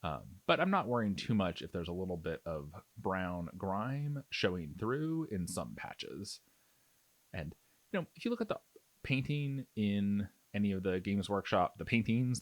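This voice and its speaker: Low-voiced man with a lively, swooping delivery. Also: dry recording. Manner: conversational, thinking aloud. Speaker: miniature painting tutorial host. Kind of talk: casual, explanatory tutorial narration over painting.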